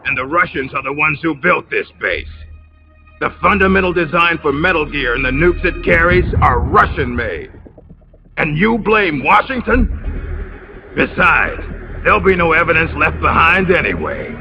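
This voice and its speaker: A smug, scheming officer in a game cutscene, with a fairly high, gravelly voice. He taunts with theatrical condescension, and the muffled audio sits under loud music. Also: sing-song, moderate pace. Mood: cold menace. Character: smug, scheming traitorous male military officer, bitter and mocking. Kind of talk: dramatic video game cutscene acting.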